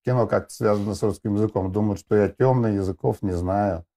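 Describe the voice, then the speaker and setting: A man's voice: fairly low pitch, a little fast, dark tone. A middle-aged man, a film director, talking casually in a discussion, rambling with many fillers and restarts.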